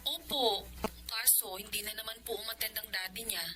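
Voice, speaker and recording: An actress playing a dutiful, soft-hearted young nanny in a Filipino radio drama, with a Filipino accent. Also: female voice, fairly high pitch, steady tone, normal speed, noisy background, natural voice. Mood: sad, sympathetic.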